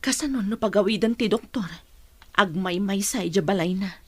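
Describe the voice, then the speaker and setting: A woman's voice: medium pitch, moderate pace, husky, lightly acted. An actress playing a worried, long-suffering mother in a radio drama, concerned and plain-spoken, with a Filipino accent. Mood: resigned. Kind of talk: Ilocano radio drama acting.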